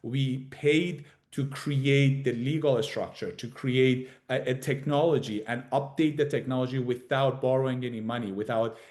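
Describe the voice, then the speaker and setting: A man speaking as a company executive on an earnings call, with halting delivery, often repeating and correcting himself. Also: medium pitch, fairly even intonation, darkish tone. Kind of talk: investor earnings call presentation.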